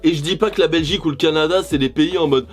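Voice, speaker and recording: A man from France giving sarcastic, scripted comedic commentary as a YouTuber, swearing casually. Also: fast talker. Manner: sarcastic, swears casually, animated swings in pitch. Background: jazz background music.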